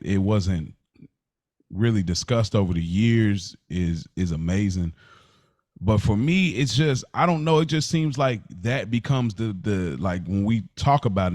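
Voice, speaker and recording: An American man co-hosting a casual podcast discussion, thinking aloud in a halting way and circling back to rephrase. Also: low voice, a little mellow, dry recording. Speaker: podcast co-host.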